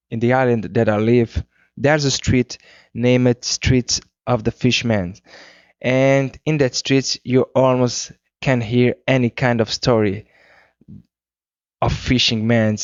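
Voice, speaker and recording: A Cape Verdean man whose first language is Creole, answering interview questions in English with unhurried speech, searching for words. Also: medium pitch, no echo. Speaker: guest singer-songwriter. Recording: muffled 2009 radio interview.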